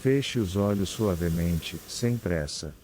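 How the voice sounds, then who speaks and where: A man with a Brazilian accent guides a scripted meditation in a calm, soothing, unhurried manner with long pauses. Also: low-pitched, a little hiss or hum. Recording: clear background ambience of ocean waves. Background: sea waves ambience.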